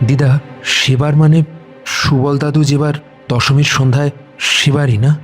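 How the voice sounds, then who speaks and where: A man's voice: on the high side, little pitch movement, a little slow, close to an everyday voice. A man playing an inquisitive, respectful young sleuth in an audio drama, asking earnest, probing questions. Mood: urgent, curious. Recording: music behind the voice.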